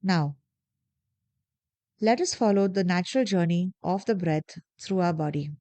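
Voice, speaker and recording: A woman guiding a body scan meditation, giving slow, soft, calm instructions with long pauses. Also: fairly low pitch, no room sound. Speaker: meditation guide. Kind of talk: guided meditation.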